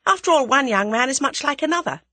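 A woman voicing a bold, impulsive young English woman in a dramatised audiobook, bright and emphatic. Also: high voice, expressive, fast talker, no room sound, pitched above the performer's own voice. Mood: scheming, brisk. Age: young adult.

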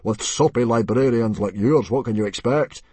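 A man playing a blustering Viking chief in a storybook, booming, pompous and emphatic. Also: sing-song, moderate pace, slightly rough, no room sound. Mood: scornful, brusque.

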